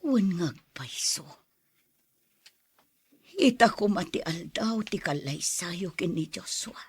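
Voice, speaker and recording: A woman playing a gentle, worried mother in an audio drama, consoling in a hushed, pleading way. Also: on the low side, lively intonation, slow pace, slightly rough, full character voice. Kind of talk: soft audio drama acting.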